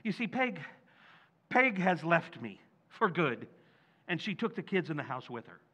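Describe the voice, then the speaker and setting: A man's voice: a little high, sing-song, unhurried, bright, forceful tone, breathy edge, heavily acted. A man playing a bumbling, blustering working-class husband in an old-time radio comedy, exclamatory and folksy in grammar. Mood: flustered.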